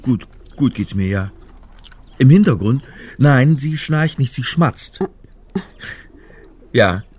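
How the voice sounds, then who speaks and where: An actor playing a rattled but dryly wry amateur sleuth in a naturalistic German radio crime drama. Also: male voice, medium pitch, a little mellow.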